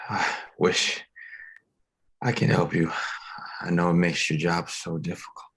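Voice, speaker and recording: A man playing a gentle, battered pastor in a hospital bed, weary and halting in a script read-through. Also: low voice, vocal fry, husky, exaggerated voice, rough recording. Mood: weary, apologetic. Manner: weary, halting, softly apologetic. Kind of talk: screenplay table read acting.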